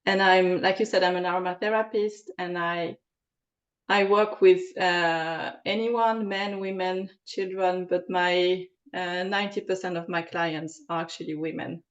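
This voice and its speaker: A woman's voice: fairly low pitch, fairly even intonation, a little slow, highs cut off. A French woman with a French accent, answering interview questions in a hesitant way, with many fillers. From France. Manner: hesitant, many ums and uhs. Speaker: podcast guest, aromatherapist.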